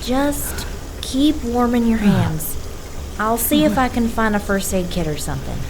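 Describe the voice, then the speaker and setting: An actress playing a detective partner who speaks calmly and dryly, steadying a panicked colleague. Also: female voice, a little high, a little slow. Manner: calm, dry, reassuring. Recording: noisy background.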